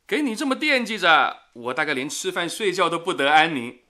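A talkative, earnest wartime radio engineer in a radio play. His voice is bright and forceful, highly animated and a little high, with an exclamatory delivery. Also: brisk, exaggerated voice. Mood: lively, teasing. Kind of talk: radio drama acting.

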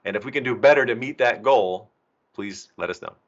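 A man's voice: mid-range voice, fairly quick. A man giving a conversational, explanatory slide walkthrough as a presenter, with emphatic phrasing, over a video call. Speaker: presenter from a software documentation team. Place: online video meeting. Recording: dull, compressed video call audio.

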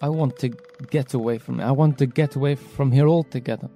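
A man's voice: medium pitch, fairly even intonation, brisk, dark tone, faint music behind, natural voice. A man voicing a timid, hurt young woman who stammers and hesitates. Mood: sad.

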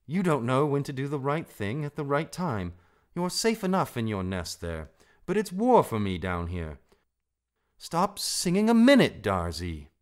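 A fierce little storybook mongoose, played by a man, impatient and brave. He speaks in a raised, emphatic voice with lively swings, briskly scolding. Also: lowish voice, voice pushed up.

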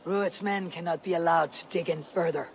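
Video game Viking leader: resolute, terse, high-set voice, faint outdoor noise behind. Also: male voice, fairly even intonation, moderate pace, gravelly. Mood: grim resolve. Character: resolute male Viking warrior leader, grim and commanding. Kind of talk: video game cutscene acting. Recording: outdoor ambience noise with horse hooves.

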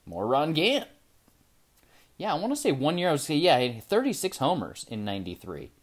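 A man hosting a casual card-opening video at his desk, chatting excitedly, then trailing off quietly as he looks over each card. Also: on the high side, a little fast. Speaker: trading card channel host. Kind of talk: casual pack-opening commentary. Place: indoor desk with card display. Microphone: camera over the desk, hands only in frame.

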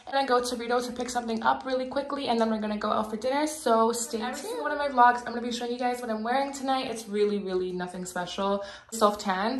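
A woman's voice: a little high, reverberant. A woman in her twenties chatting casually to her phone camera in a mirror, bubbly and full of "like" and "you guys". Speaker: lifestyle vlogger. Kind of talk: casual vlog chat to camera. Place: small indoor hallway.

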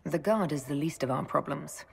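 An actress voicing a young woman who leads an order in a video game, speaking directly with crisp questions. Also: low voice, normal speed, slight background noise. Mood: steady.

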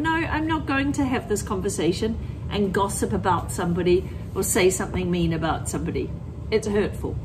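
A woman's voice: medium pitch, background noise. A middle-aged woman teacher explaining things to children in plain words and reading aloud expressively from a picture book. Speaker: primary school teacher.

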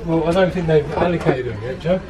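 A man's voice: a little high, a little fast, over a noisy crowd. A man chatting casually and enthusiastically in a family vlog, often exclaiming at what he sees. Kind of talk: casual chat. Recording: loud music behind. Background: loud music.

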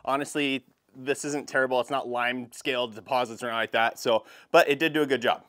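A man's voice: medium pitch, steady tone, fast talker, a bit bright. A man in his thirties casually talking viewers through a product test, chatty, with frequent fillers, on a lapel mic. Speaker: car detailing channel host. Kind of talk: casual product review vlog. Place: garage workshop, outdoors by a van, and a home hallway.

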